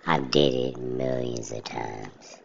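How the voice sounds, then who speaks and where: A man talking casually in a steady, plainspoken monologue, describing his own even, monotone way of speaking. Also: a bass voice, slow, creaky, breathy edge. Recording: dull lo-fi recording.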